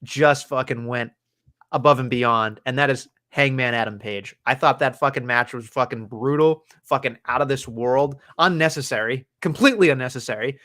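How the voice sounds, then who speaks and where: A man co-hosts a loose, casual podcast chat with a steady, mid-pitched voice. He rambles, swears constantly and laughs through his own tangents. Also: moderate pace. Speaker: podcast co-host.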